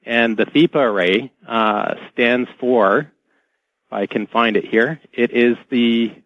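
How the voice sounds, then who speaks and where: A man's voice: mid-range voice, slow pace, no echo. Male expert guest, technical explainer, hesitant, dry, muffled band-limited radio audio.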